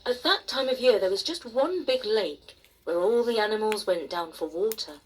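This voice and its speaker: A woman storyteller narrating a bedtime tale expressively, with dramatic pauses and emphasis. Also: medium pitch, lively intonation, soft background music, rough recording. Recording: faint noise behind the voice.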